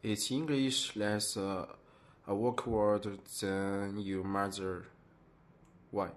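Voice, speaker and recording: A man interviewing a friend, asking questions hesitantly with pauses. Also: lowish voice, level tone. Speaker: student interviewer.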